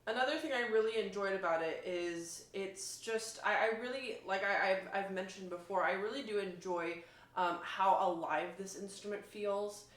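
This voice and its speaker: A woman's voice: mid-range voice, moderate pace. A woman violinist giving a casual, enthusiastic and emphatic spoken demonstration, explaining things as she goes. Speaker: violinist reviewing an instrument. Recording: roomy, echoing sound.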